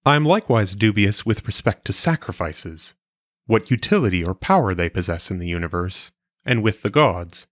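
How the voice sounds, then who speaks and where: A man reading an old philosophical text aloud in a measured, formal manner, with clear phrasing, like an audiobook. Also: low voice, fairly bright tone, no room sound, clean studio sound.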